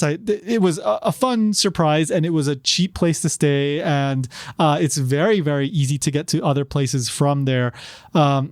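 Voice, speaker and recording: A man's voice: on the high side, a little mellow, no echo. Man rambling casually, dry close mic. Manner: rambling, many fillers and restarts. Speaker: podcast host. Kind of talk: casual solo podcast talk.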